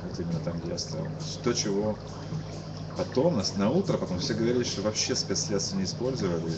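Low-voiced man, muffled over heavy noise. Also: expressive. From Kyiv, Ukraine. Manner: halting, repeats and restarts words. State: tired. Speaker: interviewee, musician. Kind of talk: casual spoken eyewitness account. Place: cafe-like booth indoors. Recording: muffled lo-fi webcast, loud background noise.

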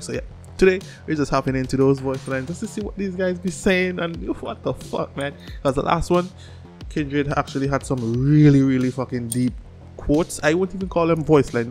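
A man in his thirties hosting a casual reaction video, talking in an animated, slangy way with constant swearing and repeated words. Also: mid-range voice, normal speed, faint music behind. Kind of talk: casual excited reaction commentary. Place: small furnished room at home. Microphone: close desk microphone on boom arm.

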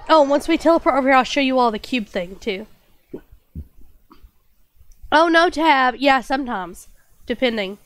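A woman's voice: sits high, lively intonation, moderate pace, pauses often, a bit bright, dry recording. A young woman streaming and chatting casually with her viewers, stuffy-nosed with a lingering cough, bubbly and apologetic. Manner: bubbly, apologetic, lots of fillers.

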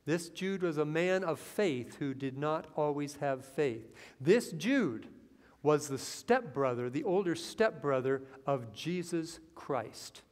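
A man in his fifties preaching a sermon from a church pulpit, earnest and rising into excitement as he reads scripture. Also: fairly high pitch, slow pace. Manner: earnest preaching that swells with excitement, lines read from the Bible. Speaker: pastor. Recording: long hall reverb in a church.

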